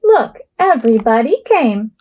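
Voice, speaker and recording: A woman voicing a small, eager young boy from a picture book in an earnest, expressive way. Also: a little high, a little slow. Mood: pleased, eager. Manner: earnest, expressive storybook delivery.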